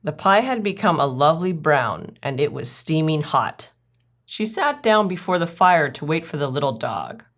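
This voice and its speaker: A woman with a low voice narrates a children's story. She reads the scripted tale aloud as a storyteller. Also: expressive, normal speed.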